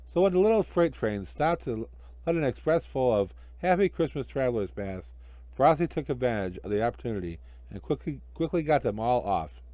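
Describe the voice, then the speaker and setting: A man's voice: mid-range voice, sing-song, a little fast, dark tone, dry recording. A man narrating a children's story expressively as an amateur storyteller.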